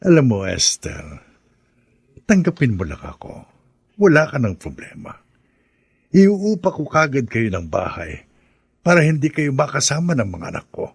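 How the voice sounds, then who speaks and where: A man acting a persistent, coaxing middle-aged suitor in a Filipino radio drama, with a husky, gravelly voice. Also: mid-range voice, sing-song, a little slow, long pauses, dry recording, clean studio sound, full character voice. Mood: coaxing, insistent. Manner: insistent, coaxing. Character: persistent, pushy middle-aged suitor courting a struggling mother.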